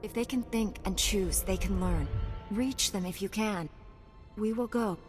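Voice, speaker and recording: An actress playing a calm, authoritative, fair-minded female knight in a video game. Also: medium pitch, a little slow, lightly acted. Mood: composed, firm. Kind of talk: video game cutscene acting. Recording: faint music behind.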